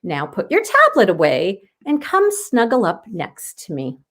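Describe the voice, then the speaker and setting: An affectionate grandmother from a children's storybook, voiced by a woman reading aloud. She talks gently and tenderly, taking her time over her words. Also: medium pitch, huge pitch swings, unhurried, full character voice.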